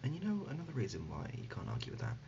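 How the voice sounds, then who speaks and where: A man playing an absurd, deadpan dad in an ASMR comedy roleplay, speaking haltingly and gently, often dropping to a whisper. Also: mid-range voice, gravelly, voice pushed up. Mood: deadpan insistent. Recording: dull lo-fi audio, loud background noise.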